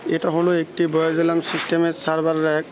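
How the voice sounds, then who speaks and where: A man gives a halting hands-on technical walkthrough with frequent false starts, as a video presenter. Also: a little high, completely flat delivery, slight background noise, rough recording.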